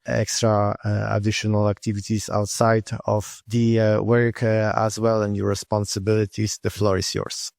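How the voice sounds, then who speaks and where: A low-voiced man with a gravelly, creaky edge hosts a podcast, pausing often with fillers as he builds long, winding questions. The sound is dry and close, with no room reverb. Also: darkish tone. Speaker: podcast host. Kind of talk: conversational podcast interview.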